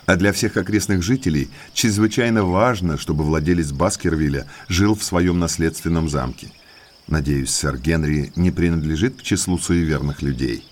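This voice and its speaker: Audio drama role: actor as inquisitive gentleman in his thirties, deep register, courteous but slyly probing, slight background noise. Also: normal speed, close to an everyday voice. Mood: probing, amused. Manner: courteous, talkative, insinuatingly polite.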